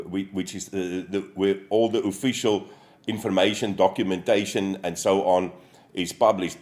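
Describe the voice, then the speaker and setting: A low-voiced man speaks on a livestream about his company. His delivery is halting, with many fillers and restarts. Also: darkish tone. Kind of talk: casual livestream talk.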